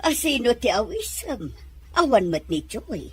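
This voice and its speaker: A woman's voice: a little high, big pitch swings, a little slow, slightly rough, dry recording, exaggerated voice. A woman acting a middle-aged Ilocano mother, worried and meddling, in an audio drama. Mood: worried, scolding. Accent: Filipino, Ilocano.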